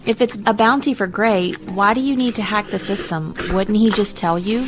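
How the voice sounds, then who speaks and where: An actress playing a dutiful, obliging homemaker in an audio drama, with a creaky voice and accommodating manner. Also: female voice, mid-range voice, moderate pace, background noise, lightly acted. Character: dutiful, obliging homemaker wife who gardens and cooks.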